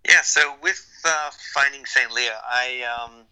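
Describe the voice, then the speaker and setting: A man's voice: medium pitch, measured pace, clear, resonant tone, low-quality recording. A man, a graduate student guest talking loosely about his background, with many fillers. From Australia. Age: 30s. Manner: relaxed, rambling with fillers. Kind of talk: casual roundtable interview.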